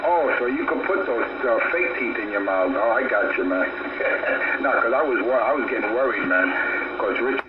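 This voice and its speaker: Taunting New Yorker on a noisy radio. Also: male voice, mid-range voice, animated, normal speed. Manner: taunting, repetitive rhetorical questions. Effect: radio transmission. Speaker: radio operator chatting on an open channel. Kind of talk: casual argumentative banter. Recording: dull two-way radio with heavy noise.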